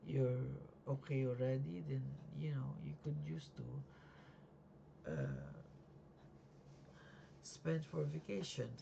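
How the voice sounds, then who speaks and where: A life insurance agent, still learning the trade, explaining policies in a casual home video at night. The voice is raspy and sleepy, and the talk is halting, with many fillers and restarts. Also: medium pitch, animated, lo-fi sound, noisy background.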